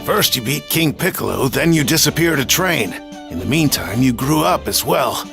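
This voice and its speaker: Stoic fighter, gravelly. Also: male voice, mid-range voice, lively intonation, moderate pace, music in the background. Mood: respectful, calm. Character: stoic, serious adult male martial artist. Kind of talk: English anime game voice acting.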